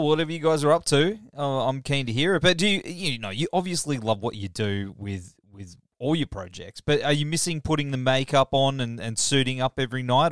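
A man's voice: medium pitch, clean tone, no echo, clean studio sound. A man hosting a podcast, asking questions in a friendly, laid-back, matey way. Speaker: podcast host. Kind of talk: casual interview.

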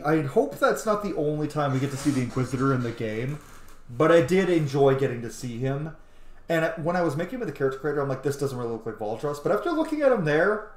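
A man in his thirties doing excitable, casual game commentary and reading game text aloud, swearing freely. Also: on the high side. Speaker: streamer playing a video game. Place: small indoor room. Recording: roomy, echoey home recording.

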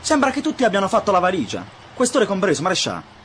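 An alarmed police brigadier in a radio drama. His highish, lively voice reports urgently and quickly. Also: exaggerated voice. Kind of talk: Italian radio drama acting. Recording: noisy, with vehicle ambience.

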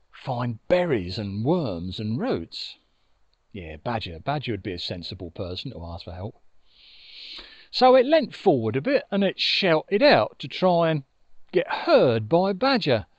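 An older man telling a story conversationally and unhurriedly, with dramatic pauses, outdoors on a lapel mic. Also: medium pitch, huge pitch swings, no room sound, lo-fi sound. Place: outdoors by a river in open countryside. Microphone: lapel mic with furry windshield.

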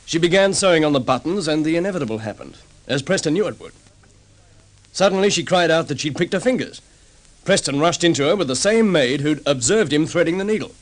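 An actor playing a calm, methodical detective sergeant laying out a case in an old radio drama. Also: male voice, on the high side, lightly acted. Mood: measured. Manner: measured explaining. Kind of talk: vintage radio drama acting.

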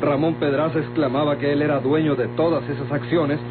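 An actor with a Mexican accent playing a calm, suspicious lawman, formal and pointed, in a vintage radio drama. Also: fairly high pitch, fairly quick, music in the background, lightly acted. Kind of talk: Mexican golden-age radio drama acting. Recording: muffled vintage radio, loud hum and noise.

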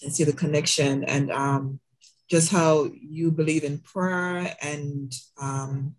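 Slow-talking woman, rambling heartfelt comment. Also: sits low, fairly even intonation, low-quality recording. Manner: slow, rambling, with many ums and soft laughs. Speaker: audience member asking a question. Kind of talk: casual heartfelt comment on a video call. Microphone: video call mic.

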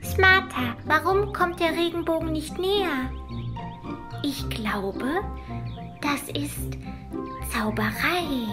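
High, naive little cartoon girl. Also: slow, pauses often. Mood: wondering. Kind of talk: children's cartoon dialogue. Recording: loud music behind the voice.

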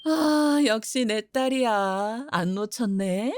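A woman playing a cheerful middle-aged mother who owns a flower shop and teases her daughter gently. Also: on the high side, animated, takes it slowly, no room sound, studio quality. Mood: teasing. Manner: gentle, teasing. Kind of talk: amateur audio drama acting.

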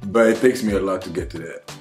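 A man's voice: deep voice, fast talker, echoey room, soft background music. A young man whose first language is not English, chatting casually and laughing with his partner, stuttering now and then, seated in a dim room in front of a camera. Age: young adult. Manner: relaxed, laughing, stutters at times. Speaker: co-host of couple channel. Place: dim indoor room on a couch.

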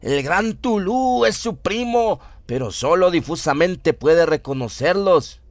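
A man giving a solemn, theatrical narration of a horror radio drama, with deliberate phrasing. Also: high-pitched, lively intonation, no room sound. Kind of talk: dramatic scripted horror narration, audiobook-like reading. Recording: music under the voice. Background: atmospheric music bed.

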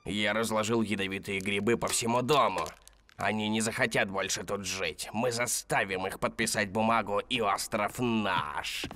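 An actor voicing an oily, fake-friendly villain in a cartoon dub, speaking in a smarmy, gloating way. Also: male voice, lowish voice, steady tone, moderate pace, sharp tone, slight background noise. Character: oily scheming adult male swindler, fake-friendly villain. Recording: studio voice with music behind.

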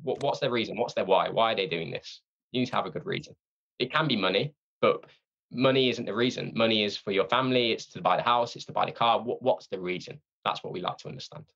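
Low gravelly man on lo-fi audio. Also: fast. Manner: energetic, conversational, repeats words when restarting. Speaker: founder of a sales training company. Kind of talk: podcast interview answers.

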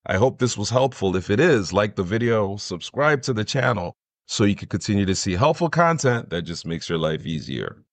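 A middle-aged man explaining software in an enthusiastic, conversational tutorial style. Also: on the low side, big pitch swings, normal speed, no room sound. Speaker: tutorial host.

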